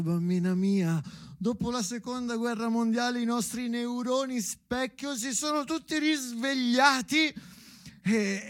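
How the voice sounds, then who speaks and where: A man's voice: sits high, no echo, studio quality. A man performing a theatrical solo monologue, declamatory and rhythmic, with repeated phrases. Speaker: stage actor performing a solo show. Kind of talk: theatrical monologue addressed to his daughter.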